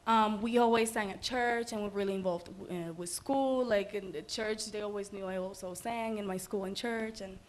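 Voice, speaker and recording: A woman's voice: medium pitch, fairly even intonation, moderate pace, few pauses. A young woman from the Dominican Republic, a musical guest chatting casually in an interview, upbeat and full of ums. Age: young adult.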